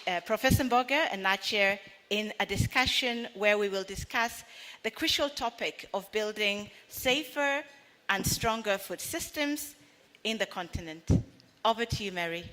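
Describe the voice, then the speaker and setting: A woman's voice: mid-range voice, a little slow, pushed and bright. A woman hosting a conference session, introducing speakers with some hesitant fillers. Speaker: event host. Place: large conference hall. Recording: hall reverb.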